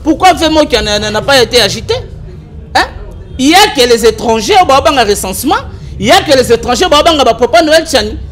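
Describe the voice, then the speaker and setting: High-voiced Congolese man, animated debater. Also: fast talker. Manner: animated, argumentative, mixes French and Lingala. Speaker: political commentator. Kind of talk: political talk show chat.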